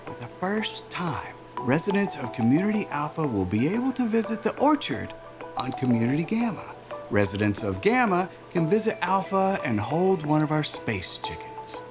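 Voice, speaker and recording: A man playing a self-assured, smooth-talking aerospace executive in a naturalistic audio drama, with a polished, salesman-like manner. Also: on the high side, sing-song, normal speed, over music. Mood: proud pitching.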